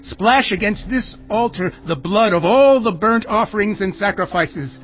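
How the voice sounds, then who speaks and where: Man doing an ancient king, high voice, imperious, exacting orders, lo-fi dull sound, faint score behind. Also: expressive, measured pace. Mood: commanding. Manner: imperious, issuing precise commands. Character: commanding ancient king giving orders, middle-aged man. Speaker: King Ahaz. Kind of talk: dramatized scripture reading. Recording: dull lo-fi sound with music under it.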